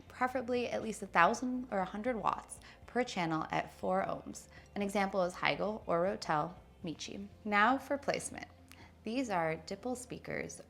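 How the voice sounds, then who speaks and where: A woman's voice: fairly low pitch, gravelly. A woman in her thirties presenting a product review to camera in a conversational, emphatic way. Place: indoor showroom with wood-slat wall.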